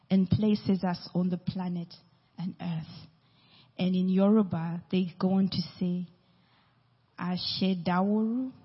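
A woman from Uganda preaches at an unhurried pace, her voice soft and breathy. She dwells deliberately on each word as she teaches. The sound is dull and carries a long church-hall reverb. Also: on the low side. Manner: slow, deliberate, lingering over names. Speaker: church speaker. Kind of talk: sermon teaching on prayer.

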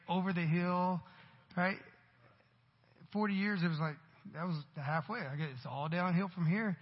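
A man in his forties preaches in a husky, fairly high voice, chatting with the congregation one moment and pushing hard on a line the next. His sermon rings with a long church-hall reverb. The recording sounds muffled and lo-fi. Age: 40s. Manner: conversational preaching with rising emphatic bursts. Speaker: pastor. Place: church sanctuary.

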